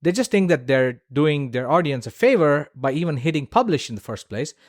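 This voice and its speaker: A man's voice: a little high, lively intonation, brisk, no echo, clean studio sound. A man hosting a solo podcast, giving opinionated advice in a blunt, informal and emphatic way. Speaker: podcast host.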